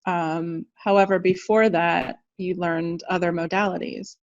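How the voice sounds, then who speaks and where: A woman's voice: on the low side, steady tone, a little slow, no echo. A woman hosting a casual interview, upbeat, laughing often and giving short encouraging replies. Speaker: school co-founder and interview host. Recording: dull, dry lo-fi sound.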